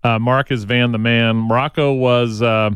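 A man's voice: mid-range voice, fairly even intonation, normal speed, noisy background. A man hosting a local news and talk radio show, chatting casually and rambling with many fillers and restarts in a studio. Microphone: studio microphone.

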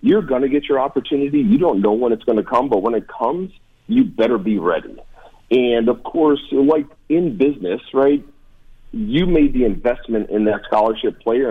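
A man's voice: mid-range voice, animated, normal speed, breathy edge, phone-quality sound. An American man, a former college football player, talking earnestly and emphatically as a guest in a conversational sports radio discussion.

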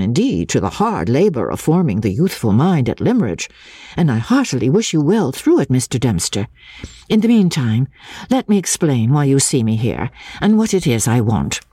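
A storybook reader playing a brisk, sharp-witted young gentlewoman with an emphatic, mocking manner. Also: medium pitch, husky, no room sound. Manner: emphatic, scornful questioning. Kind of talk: audiobook character dialogue.

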